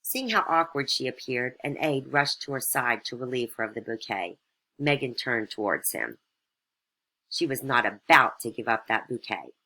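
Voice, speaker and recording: Casting: female storyteller for satirical fairytale narration, extremely low pitch, bright forceful tone. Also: expressive, moderate pace.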